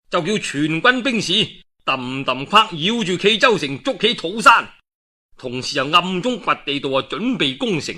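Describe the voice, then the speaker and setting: A man's voice: fairly high pitch, bright, forceful tone. A man telling a historical tale in colloquial Cantonese in a traditional storytelling style, with dramatic delivery and conversational asides.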